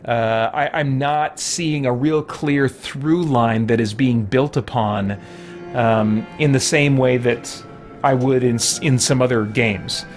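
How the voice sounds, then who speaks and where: A man's voice: medium pitch, fairly even intonation, takes it slowly. Man rambling casually over game music. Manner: thinks aloud, rambling, informal. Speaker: streamer playing and commenting on a video game. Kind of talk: casual live game commentary chat. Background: video game music.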